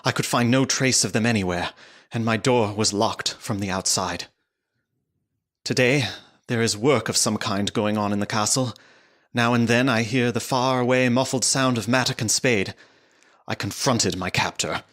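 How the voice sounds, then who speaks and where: A man playing a frightened young English gentleman prisoner, giving formal, dramatic diary narration in a gothic audio drama. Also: medium pitch, moderate pace, close to an everyday voice. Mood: grim, uneasy. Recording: music underneath the voice.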